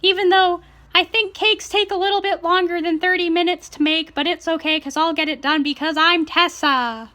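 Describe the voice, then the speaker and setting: A woman mockingly voicing a frantic, eager young heroine in a shrill, breathless, overexcited voice. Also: fairly even intonation, moderate pace, pushed and bright. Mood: frantic excitement. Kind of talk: mocking parody reading.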